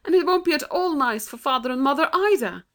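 A woman's voice: sits high, lively intonation, a little fast, fairly bright tone, pitched above the performer's own voice. A woman voicing an earnest, dramatic, kindly young British girl in a storybook reading, well-spoken and sincere. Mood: dramatic, gloomy.

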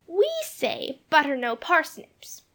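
A woman's voice: high-pitched, animated, slow pace, a bit bright, full character voice. A woman playing a small, prim English girl, childlike and insistent, in a storybook dramatization. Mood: earnest.

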